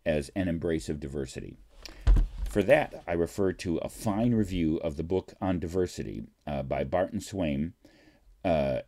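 An older man in his sixties, a professor, reading a formal letter aloud with emphatic, deliberate phrasing into a computer mic in a home study. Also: deep voice, dark tone. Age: older, 60s. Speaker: college political science professor. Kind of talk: reading an open letter aloud with brief asides. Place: small home study lined with books. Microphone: webcam or computer mic at desk distance.

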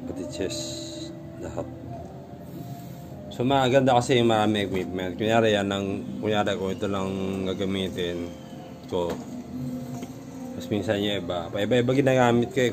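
Man, very low voice, casual home vlog, rambling, phone camera, music behind. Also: normal speed, long pauses. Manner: rambling, repeats himself, loose restarts. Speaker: vlogger. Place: home room with gym gear.

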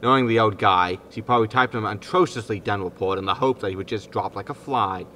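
A man's voice: on the low side, fast, pushed and bright, a little hiss or hum. A man playing a defensive, exasperated disgraced spaceship captain, indignant and grumbling, in a sci-fi comedy audio drama. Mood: grumbling, resigned.

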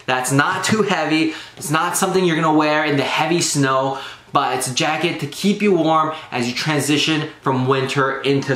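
A man's voice: mid-range voice, a bit bright. Man in an echoey, noisy room. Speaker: menswear review vlogger. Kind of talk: casual product review to camera. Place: small bare room at a desk, indoors. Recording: roomy echo with clear background noise.

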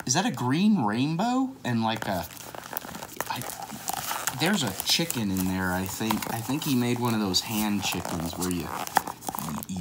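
A man's voice: mid-range voice, fairly bright tone, slight background noise. An American man reading a picture book aloud to kids in a casual, playful way with joking asides.

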